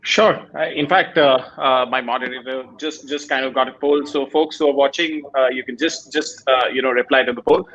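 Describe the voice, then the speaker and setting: A man moderating an online panel, asking questions haltingly with many "uh" fillers. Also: medium pitch, fairly even intonation, a bit bright, lo-fi sound, slight background noise. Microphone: video call.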